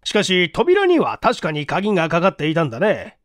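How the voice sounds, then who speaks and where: A man's voice: high-pitched, huge pitch swings, moderate pace, fairly bright tone, no room sound, clean studio sound, voice pushed up, exaggerated voice. A man voicing an authoritative, probing police chief in a mystery audiobook, with commanding, old-fashioned official speech. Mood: probing, stern. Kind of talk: dramatic audiobook character acting.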